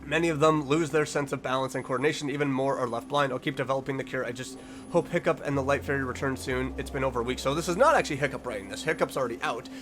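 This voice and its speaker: A man's voice: mid-range voice, rapid-fire. A man hosting a reaction video, reading text aloud and commenting casually, sometimes stumbling over words and correcting himself. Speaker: online reaction video host.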